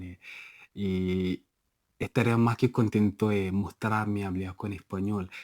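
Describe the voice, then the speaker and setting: A man's voice: fairly low pitch, a little mellow, dry recording, clean studio sound. A young Nigerian man talking casually in a language-learning vlog, restarting and correcting himself and laughing at his own slips. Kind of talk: casual self-recorded vlog.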